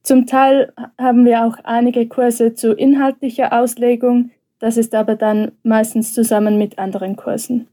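A young woman with a Swiss German accent talks as a podcast guest. Her voice sits a little high but sounds mellow and warm. She speaks thoughtfully, often pausing with small hesitations. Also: little pitch movement. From Switzerland. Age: young adult. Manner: thoughtful, with frequent hesitation fillers. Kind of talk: casual podcast conversation.

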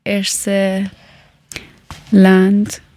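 Slow, flat-voiced woman. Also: mid-range voice, a little hiss or hum. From Iran. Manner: hesitant, searching for words. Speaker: interviewer. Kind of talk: casual interview.

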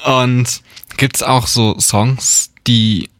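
A man at a middle pitch asks his guest relaxed questions. His voice is recorded dry and close, with no echo. He nudges the conversation along in an easy, encouraging way. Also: drawn-out words. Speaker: interview host. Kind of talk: casual interview questions.

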